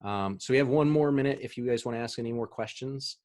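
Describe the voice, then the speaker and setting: A man's voice: medium pitch, fast, a little mellow. A man presenting a marketing webinar over a video call, chatty, with fillers and easy laughs.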